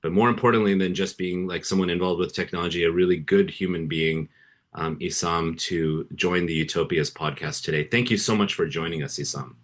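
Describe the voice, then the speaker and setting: A man's voice: deep voice, fairly even intonation, brisk. A middle-aged man hosting a podcast interview, speaking earnestly and hesitantly with many fillers and restarts. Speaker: podcast host.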